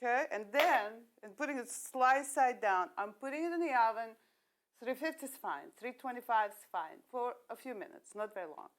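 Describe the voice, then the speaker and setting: A woman's voice: a little high, lively intonation, normal speed, a bit bright. A middle-aged woman from Russia, a guest talking through each step as she cooks on a TV show and laughing easily. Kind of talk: cooking demonstration chat.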